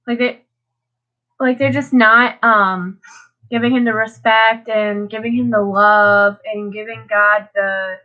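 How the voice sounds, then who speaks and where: A woman's voice: mid-range voice, sharp tone, lo-fi sound. A teenage girl talking casually about her daily Bible reading, stuffed up and sniffling, rambling with lots of fillers. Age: teens. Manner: rambling, many fillers and restarts. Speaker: vlogger. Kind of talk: casual devotional talk.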